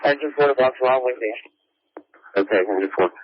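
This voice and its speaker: A man's voice: medium pitch, fairly quick, highs cut off. A man dispatching emergency fire traffic over a two-way radio scanner feed, speaking in clipped, procedural phrases.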